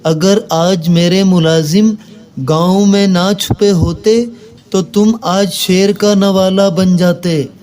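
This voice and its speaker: A man's voice: high voice, fairly even intonation, takes it slowly, a little mellow. A man voicing an angry, authoritative village landlord who scolds people in a children's cartoon story. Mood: stern, reproving. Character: angry, commanding village landlord, stern with his servants.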